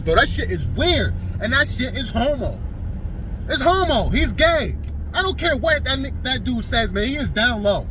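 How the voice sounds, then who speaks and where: A man's voice: very high voice, background noise. A man in his thirties giving a blunt, rambling commentary vlog, repeating phrases and tacking "man" onto his sentences. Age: 30s. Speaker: YouTuber.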